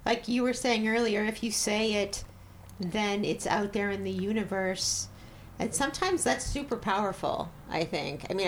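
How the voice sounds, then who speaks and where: Relaxed woman host, chatty and curious. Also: mid-range voice, a little hiss or hum. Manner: relaxed, filler-heavy, curious. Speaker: interviewer host. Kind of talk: casual interview chat.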